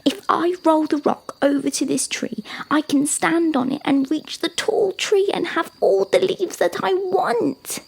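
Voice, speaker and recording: A woman voicing a timid, excitable young boy giraffe who stammers, in a children's storybook. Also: extremely high pitch, a little slow, vocal fry, hardly any pauses, voice pushed up, exaggerated voice, poor audio. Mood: wishful. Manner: stammers when nervous or curious.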